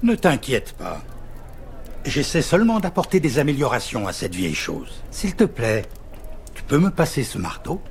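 An actor playing a kindly, nostalgic old Santa in a theatrical Christmas film parody. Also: male voice, mid-range voice, wildly swooping pitch, normal speed, vocal fry, slight background noise. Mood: reassuring, nostalgic.

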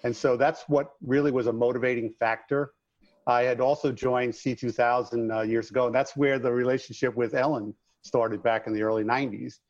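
A middle-aged man with a slight New York accent tells his story in a chatty, folksy manner, often using the host's name. His voice sits at a middling pitch and comes through a slightly dull, remote-sounding line. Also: fairly even intonation, fairly quick. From Long Island, New York. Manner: upbeat, folksy, addresses host by name. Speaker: guest, trades business owner. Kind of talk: casual interview chat.

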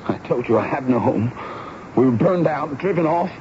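An actor playing an earnest, pleading teenage runaway from Louisiana in a 1970s radio drama, speaking haltingly and emotionally. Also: male voice, medium pitch, expressive, a little mellow, natural voice. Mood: defensive. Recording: dull, noisy old radio broadcast recording.

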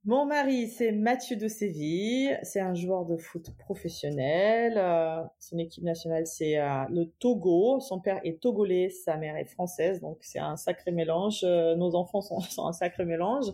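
A woman's voice: lowish voice, measured pace, few pauses, mellow. A woman in her late thirties, from Germany with German as her first language, speaking French in a casual interview with frequent hesitations. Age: 30s. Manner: hesitant, many fillers and restarts, light laughs. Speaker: guest, entrepreneur.

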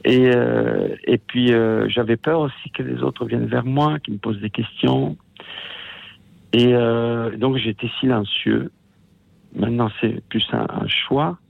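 A man from Italy whose first language is Italian, calling a radio show from home. He talks in a hesitant, halting way and often restarts his sentences. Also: medium pitch, fairly even intonation, creaky, no echo. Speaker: radio caller, musician. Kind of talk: phone-in call to a radio show. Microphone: phone. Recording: tinny phone line.

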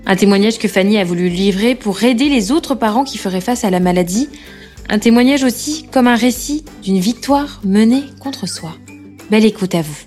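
A French woman who hosts a podcast, reading a scripted intro and then asking questions gently with some hesitation. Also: mid-range voice, animated, normal speed, faint music behind. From France. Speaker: podcast host and journalist.

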